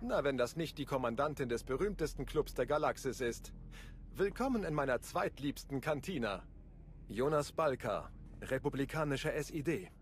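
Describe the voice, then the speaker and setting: An actor playing a charming, roguish intelligence agent in a video game, smooth-talking, breezy and flirtatious. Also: male voice, medium pitch, animated, moderate pace, natural voice. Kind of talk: video game cutscene acting.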